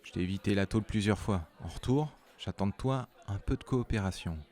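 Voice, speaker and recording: A man's voice: fairly low pitch, brisk, a little mellow, lightly acted. A man playing a blunt, authoritative military captain who threatens in colloquial speech, in acted drama dialogue. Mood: firm, threatening. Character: strong, weary military captain of noble authority, pressuring an informant.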